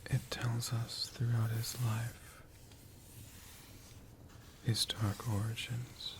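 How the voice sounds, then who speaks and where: A storyteller whispering a tale for ASMR in a breathy, hushed voice, with dramatic phrasing and long pauses. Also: mid-range voice, flat delivery, noisy background. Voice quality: breathy, mostly unvoiced whisper.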